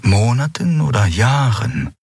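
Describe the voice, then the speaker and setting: A man voicing a calm, mysterious male spirit mentor in an audiobook, speaking in a slow, measured way. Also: mid-range voice, creaky, slightly rough, no echo, clean studio sound, voice pushed up, full character voice. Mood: calm, knowing. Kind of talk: audiobook character voice.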